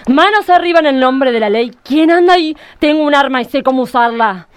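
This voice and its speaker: A woman's voice: sits high, animated, brisk, a little hiss or hum. A woman playing a stern, exasperated police superior, commanding and indignant, in a comic radio play.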